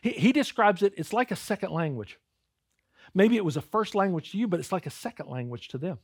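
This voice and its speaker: A man's voice: high-pitched, lively intonation, brisk, slightly rough, dry recording, studio quality. A male pastor giving a conversational sermon, calling his listeners "guys" and sometimes repeating words as he starts a thought.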